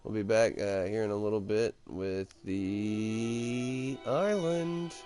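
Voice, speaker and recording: A man's voice: lowish voice, slow. A man with a stuffy nose giving casual, snarky commentary while playing a game. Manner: snarky, offhand. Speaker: let's play host. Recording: gameplay with loud game music.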